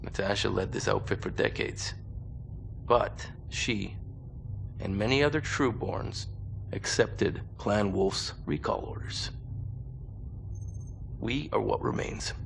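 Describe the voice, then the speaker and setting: An actor playing a commanding, guarded mercenary colonel in a game drama, speaking with gravelly, measured military authority. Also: male voice, on the low side, slight background noise, heavily acted. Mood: measured, serious.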